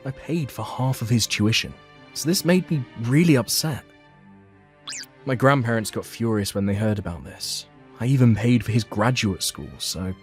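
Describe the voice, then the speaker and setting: A man narrating a dramatized first-person story for a manga dub. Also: fairly low pitch. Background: background music.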